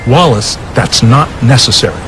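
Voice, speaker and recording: An actor playing an earnest marine biologist in a game cutscene. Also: male voice, medium pitch, expressive, normal speed, heavy background noise, close to an everyday voice. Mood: directing. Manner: earnest, insistent. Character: urgent marine biologist, adult man, earnest. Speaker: field scientist.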